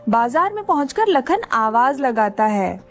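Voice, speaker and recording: High-pitched woman narrating a children's story. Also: sing-song, measured pace, soft background music.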